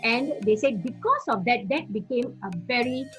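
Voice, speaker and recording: A woman's voice: fairly high pitch, animated, normal speed, a bit bright, faint music behind. A woman evangelist from Singapore giving earnest teaching and testimony, repeating phrases for emphasis.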